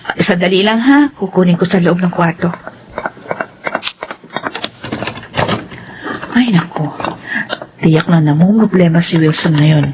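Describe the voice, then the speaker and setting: An actress playing a devoted, apologetic Filipino housewife and dutiful daughter in a radio drama, polite and earnest. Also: female voice, deep voice, close to an everyday voice. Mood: polite, flustered. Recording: dull radio sound, faint noise.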